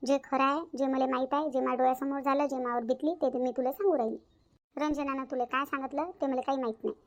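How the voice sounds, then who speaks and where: A woman's voice: high voice, fairly even intonation, quick pace. A woman playing an outspoken village aunt in a cartoon family drama, with a Varhadi Marathi accent from Vidarbha and an emphatic, repetitive, colloquial way of talking. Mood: insistent. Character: outspoken village aunt, sister-in-law, frank peacemaker.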